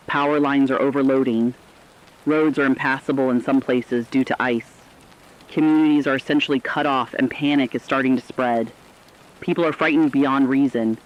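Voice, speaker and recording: A man's voice: mid-range voice, lightly acted. A man playing an alarmed, earnest radio reporter, delivering scripted news in a serious, articulate, emphatic way. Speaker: news correspondent. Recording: dull lo-fi radio sound.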